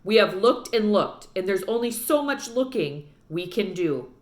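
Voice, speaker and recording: A weary, grieving preacher dad, voiced by a woman reading a storybook, firm yet tender with his child. The recording has a clear room echo. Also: medium pitch, lively intonation, measured pace, lightly acted. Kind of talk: children's book read-aloud.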